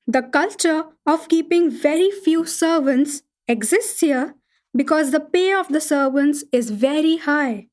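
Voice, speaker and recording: An observant Indian lady traveller of the 1930s in a radio drama. Her voice sits high, and she explains daily life in formal, measured, carefully composed sentences. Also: expressive, darkish tone. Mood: earnest, observant. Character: observant Indian woman travel writer living in 1930s London. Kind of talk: scripted dramatic reading of a travel account.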